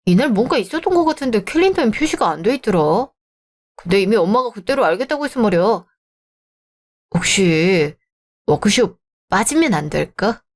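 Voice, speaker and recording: A woman's voice: medium pitch, natural voice. A woman voicing an earnest, reassuring young man in a radio drama. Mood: coaxing. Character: caring young adult man, earnest boyfriend.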